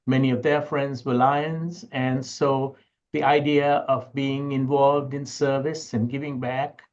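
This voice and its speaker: A man's voice: mid-range voice, level tone, unhurried, darkish tone. Sri man, slightly dull audio. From Sri Lanka. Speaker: interview guest, university educator and researcher. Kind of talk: conversational interview answers.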